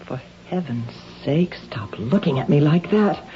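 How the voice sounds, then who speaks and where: An actress playing an anxious, guilt-ridden wife in a 1970s radio drama, defensive, emotional and pleading. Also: female voice, deep voice, lively intonation, unhurried. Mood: hurt, accusing. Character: anxious, guilt-ridden wife ashamed of her compulsive stealing. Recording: dull, lightly noisy old radio recording.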